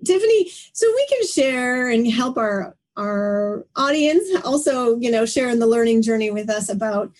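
A woman hosts a conversational interview. Her voice sits a little high. She phrases things reflectively and wordily, often repeating a word as she works toward her point. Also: animated, normal speed, hardly any pauses, a little mellow. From Canada. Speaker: live stream host, interviewer.

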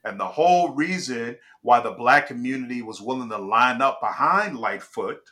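A man from Chicago talks through the news as host, his voice bright and forward. He is emphatic and stops to check in with his listener. The delivery is loose and conversational. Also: medium pitch, expressive, moderate pace. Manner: emphatic, restarts and checks in with "all right?". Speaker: political commentary host. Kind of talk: casual political commentary.

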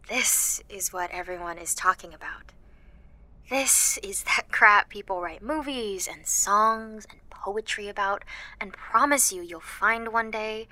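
An actress playing an anxious, self-deprecating teenage girl with superpowers in an audio drama, speaking in a halting, confiding way. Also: mid-range voice, sharp tone, no room sound. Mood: nervous, confiding. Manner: confiding, halting, with nervous laughs and sighs. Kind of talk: first-person audio drama narration and dialogue.

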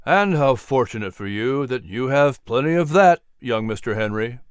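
A narrator voicing a kindly, calm male preacher who mentors gently in a measured, encouraging way. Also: mid-range voice, clean tone, no room sound, studio quality, close to an everyday voice. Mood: kindly, reassuring.

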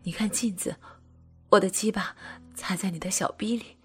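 Hushed breathy young guy voiced by a woman, half whispering, faint music under it. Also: fairly even intonation, normal speed. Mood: hushed, eager. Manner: low murmuring, half-whispered. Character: young man, eager and insistent younger brother.

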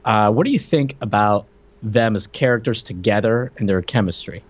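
A man's voice: fairly low pitch, moderate pace, dull audio. A man co-hosting a podcast, commenting casually on film and repeating words as he forms his points. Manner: conversational, repeats words while forming points. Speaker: podcast co-host.

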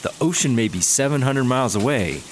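A cocky, laid-back slacker cop played by a man talks with a lively, swinging delivery, breezy and ready to argue. The audio drama has faint noise behind him. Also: medium pitch, fairly quick, natural voice. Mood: relishing. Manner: breezy, argumentative. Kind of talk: sci-fi comedy audio drama acting.

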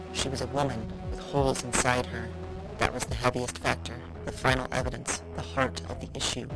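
A woman voicing the spirit of a confined mad woman from the past, speaking in a measured, flat, incantatory way over loud music. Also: extremely low pitch, low-quality recording. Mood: bitter. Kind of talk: audio drama.

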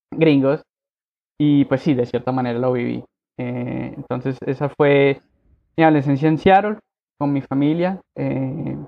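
Man from Colombia, gravelly voice, relaxed podcast guest, dull lo-fi sound. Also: medium pitch. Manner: relaxed, many fillers and affirmations. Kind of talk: conversational interview.

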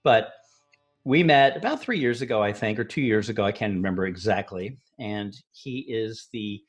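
A man's voice: fairly low pitch, moderate pace, slightly rough, faint music behind. A man hosting a podcast, telling stories and chatting casually with lots of fillers. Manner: relaxed storytelling, chatty with many fillers. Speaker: podcast host.

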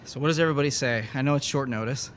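A man's voice: medium pitch, rapid-fire, a little hiss or hum, close to an everyday voice. A man playing a sociable, encouraging scientist in an audio drama, speaking casually.

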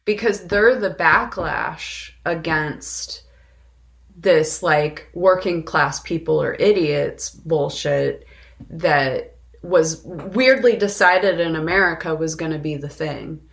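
Female podcast co-host: unhurried, creaky low-ish voice, blunt and opinionated in casual film chat.

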